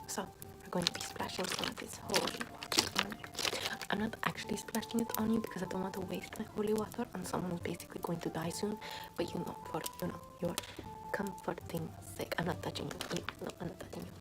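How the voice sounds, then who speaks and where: A woman playing a callous, morbid medieval nun in ASMR roleplay, murmuring in a soothing, repetitive deadpan. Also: on the low side, quick pace, close to an everyday voice, low-quality recording. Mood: soothing, dismissive. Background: music.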